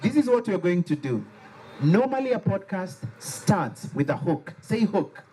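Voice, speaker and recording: A man with a Liberian accent leading a lively workshop, joking and getting the audience to repeat key words after him. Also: high voice, a little slow, slight background noise, rough recording. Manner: animated, playful, has the audience repeat words. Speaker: workshop facilitator. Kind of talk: interactive call-and-response workshop. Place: workshop room with a live audience.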